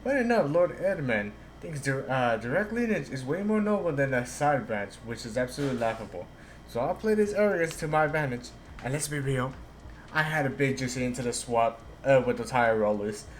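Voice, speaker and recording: A male game streamer talks in a casual voice at a middling pitch. He reads dialogue aloud and comments informally, tripping over words at times. There is faint noise in the background. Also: animated, a little fast. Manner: casual, stumbling over read lines.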